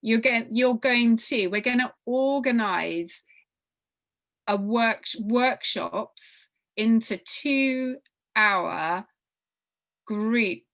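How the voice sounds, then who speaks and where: A woman giving an informal briefing to a group as a workshop leader, halting and often repeating phrases for emphasis. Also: a little high, fairly bright tone. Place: online group video call.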